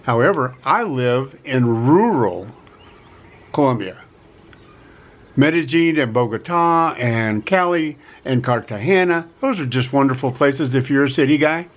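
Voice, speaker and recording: A middle-aged man giving a casual vlog pitch from a quiet rural home, talking in a folksy way, repeating himself and stressing key words. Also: expressive, measured pace, dull audio. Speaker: vlogger offering relocation advice.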